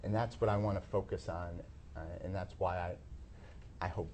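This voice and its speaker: City council candidate, earnest closing pitch, lowish voice, faint noise. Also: male voice, level tone, normal speed, darkish tone.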